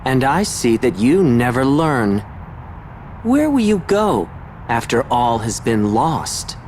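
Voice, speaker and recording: A man playing a brooding, poetic villain in a video game, measured and theatrical. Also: mid-range voice, very animated, a little mellow, slight background noise. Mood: scornful. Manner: theatrical, long-winded, measured. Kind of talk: scripted video game drama acting.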